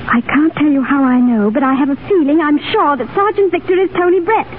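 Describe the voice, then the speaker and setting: A woman's voice: sits high, a little fast, lightly acted. An actress in 1930s radio drama playing a refined young aristocratic lady with an earnest, pleading manner. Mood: earnest, hopeful. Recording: tinny, lightly noisy 1930s radio broadcast.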